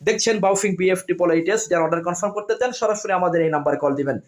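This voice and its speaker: Male Bangladeshi product seller, higher-pitched voice, quick delivery, insistent demo pitch with list-like phrasing. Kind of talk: informal product demonstration sales pitch.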